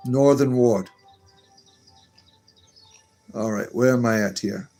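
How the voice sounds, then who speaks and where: A man's voice: mid-range voice, slow pace, darkish tone, faint music behind. A man hosting a live stream, reading viewer comments aloud and answering them in a meandering, chatty way. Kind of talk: casual live-stream chat.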